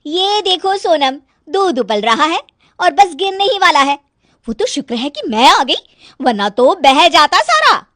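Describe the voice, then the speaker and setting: A woman voicing a bossy, fault-finding young daughter-in-law who scolds in a shrill, loud voice in a storybook cartoon. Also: big pitch swings, a little slow, no room sound, studio quality, heavily acted. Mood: nagging.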